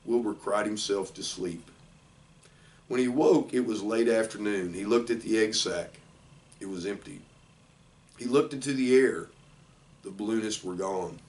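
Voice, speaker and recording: An older man, around sixty, reading a children's storybook aloud in plain, measured short phrases. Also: fairly low pitch, darkish tone. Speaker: football coach reading a children's book aloud. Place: small indoor office. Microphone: camera a few feet away. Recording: distant microphone in a room.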